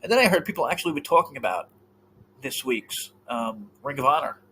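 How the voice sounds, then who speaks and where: A man talking casually as a podcast co-host, with a lively voice that swings up and down in pitch. He swears freely and often trails off mid-thought. A faint hiss of noise sits under the recording. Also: brisk, low-quality recording.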